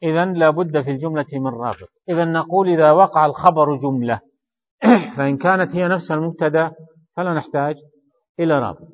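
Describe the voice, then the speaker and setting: A man explains grammar line by line in a traditional lesson, repeating phrases and questioning his student. His voice sits a little high. The recording is muffled and lo-fi, with a long hall echo. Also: fast. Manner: explains line by line, repeats key phrases, quizzes and corrects the reader. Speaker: teacher of Arabic grammar. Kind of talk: traditional study-circle lesson explaining a text. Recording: reverberant hall, dull lo-fi sound.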